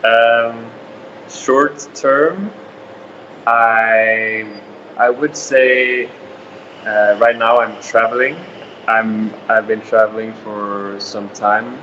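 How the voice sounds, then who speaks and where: A man's voice: on the low side, a bit bright, lo-fi sound, a little hiss or hum. A man of twenty-one from Sweden, answering interview questions in a hesitant, thoughtful way. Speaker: interview guest.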